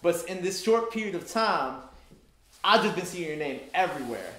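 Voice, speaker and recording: A man's voice: high-pitched, expressive, normal speed, a bit bright, slight background noise. A man hosting a casual hip-hop interview in a recording studio, talking with hype and enthusiasm. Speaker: interview host. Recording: echoey studio room.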